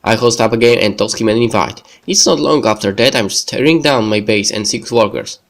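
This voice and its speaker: A man's voice: on the low side, brisk, vocal fry. A man reading the narration of a visual novel aloud in a let's play style. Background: game music.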